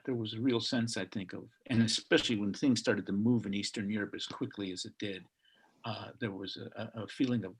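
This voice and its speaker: A man's voice: lowish voice, husky. An older man from Chicago, speaking reflectively on a video call panel, telling stories with frequent restarts. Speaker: panelist author.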